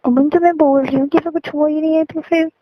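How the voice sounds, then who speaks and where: A woman's voice: sits high, fairly even intonation, fast talker, a little hiss or hum. A young woman arguing on a phone call, defensive and insistent as she denies things. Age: young adult. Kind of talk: relationship argument in casual talk. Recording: dull lo-fi call recording.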